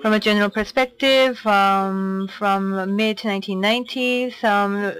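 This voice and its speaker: A woman interpreting a conference talk into English, steady and formal, with frequent fillers. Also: mid-range voice, unhurried, smooth.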